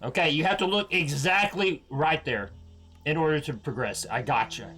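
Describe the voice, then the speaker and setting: A man's voice: fairly high pitch, moderate pace, pushed and bright. A man giving casual, blunt game reaction commentary as a streamer, slangy and full of swearing. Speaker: gaming streamer. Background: faint game music.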